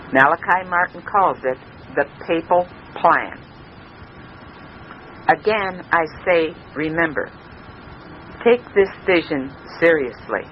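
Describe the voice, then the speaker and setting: An American man giving an earnest, prepared radio lecture in deliberate, emphatic short phrases, heard over shortwave. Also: on the high side. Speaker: speaker on a political radio broadcast. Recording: dull, noisy 1990s shortwave radio recording.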